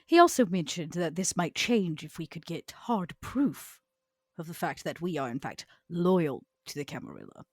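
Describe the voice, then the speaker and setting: A shrewd, proud female vampire , acted by a woman with a lively, swooping delivery. She is theatrical and cutting, choosing words deliberately, in a dry close recording of a tabletop game. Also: on the low side, no echo. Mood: bitter, proud. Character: Vera, proud, shrewd, long-lived female vampire enforcer. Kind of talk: improvised tabletop roleplay.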